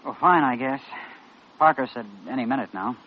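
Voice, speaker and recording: An actor with an American accent playing an anxious, hesitant young intern in a 1940s radio drama. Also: male voice, medium pitch, normal speed, lightly acted. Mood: uneasy. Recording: dull, noisy old radio recording.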